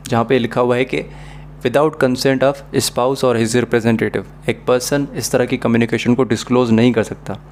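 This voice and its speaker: A man's voice: mid-range voice, slight background noise. A man with a Pakistani accent explains material as a law lecturer, in a methodical, didactic way. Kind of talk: explanatory lesson mixing Urdu and English.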